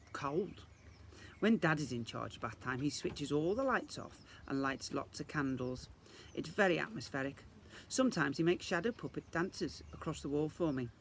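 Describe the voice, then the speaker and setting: Expressive male storyteller, lively pitch. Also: a little hiss or hum. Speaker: teacher reading a story to young children. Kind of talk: expressive children's storybook narration.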